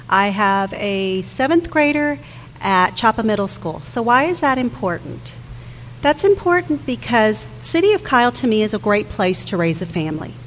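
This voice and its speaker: A woman in her forties giving earnest campaign remarks as a council candidate, speaking directly to listeners. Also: mid-range voice. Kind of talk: prepared campaign remarks at a public forum. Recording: echoey hall with background noise.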